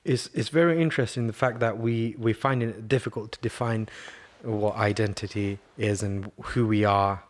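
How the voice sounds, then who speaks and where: A man asking questions in a casual conversation, halting and repeating words as he searches for the right phrasing. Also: on the low side, fairly even intonation. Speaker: podcast co-host, interviewer.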